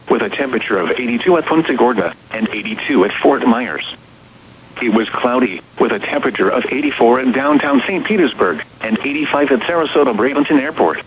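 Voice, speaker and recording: Over a muffled radio signal with faint noise comes a synthetic American man's voice at a middling pitch. He works as an automated weather announcer, reading scripted bulletins in short, formal segments. The delivery is measured and impersonal. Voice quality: synthetic text-to-speech voice. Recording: dull, noisy weather radio broadcast.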